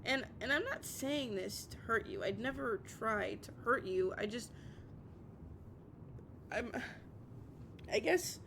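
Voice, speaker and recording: A heartbroken, obsessive young woman, acted out by a woman in an intimate audio roleplay, with a fairly high voice. She stammers and repeats herself, raw with emotion, as she pleads with a partner. The recording has some noise and low rumble under it. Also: expressive, takes it slowly, creaky, pauses often, a bit bright, heavily acted. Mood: vulnerable, anguished.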